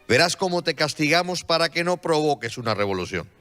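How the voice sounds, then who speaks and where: An actor playing a harsh hospital warden, scornful and threatening. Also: male voice, on the high side, sing-song, a little fast, fairly bright tone, in a large hall, soft background music.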